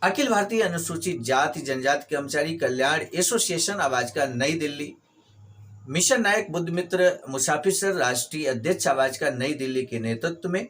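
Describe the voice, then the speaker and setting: A man's voice: a little high, a bit bright, slight background noise. A man introducing a report in a formal, announcing voice-over style. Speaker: channel presenter.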